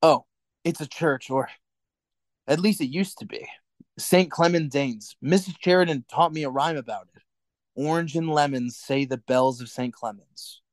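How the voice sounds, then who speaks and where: Guy playing a nervous earnest man in a radio drama, bit higher voice, hesitant, very dry sound. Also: moderate pace, dry recording, natural voice. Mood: wistful. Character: Winston, anxious, earnest man in forbidden love.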